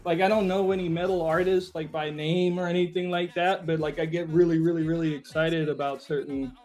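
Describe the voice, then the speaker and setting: A man being interviewed as a musician, chatting casually and reflectively, often halting as he searches for words. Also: fairly high pitch, fairly even intonation, a little mellow, soft background music.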